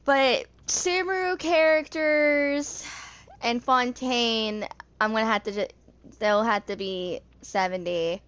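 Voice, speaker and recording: A woman's voice: fairly high pitch, takes it slowly, a bit bright. A woman in her twenties casually thinking aloud as she rambles through commentary on a video game. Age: 20s. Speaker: gaming video creator.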